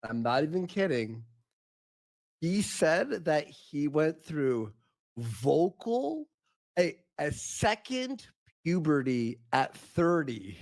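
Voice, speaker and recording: Casting note: male panel host, incredulous, pitch all over the place. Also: big pitch swings, slow pace, a little mellow. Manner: incredulous, voice jumps up when surprised. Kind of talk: casual panel chat.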